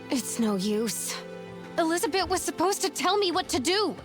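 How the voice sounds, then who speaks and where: A woman voicing a plucky, earnest young heroine with a wry edge in an animated game. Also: very high voice, normal speed, music in the background. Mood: dismayed. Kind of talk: animated game cutscene acting.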